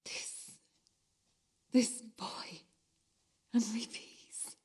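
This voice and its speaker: A woman's voice: drawn-out words, darkish tone, whispered. Actress as a shaken, obsessively repetitive female witness. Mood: shocked. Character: shaken, obsessive witness recounting violent footage. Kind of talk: intense dramatic monologue.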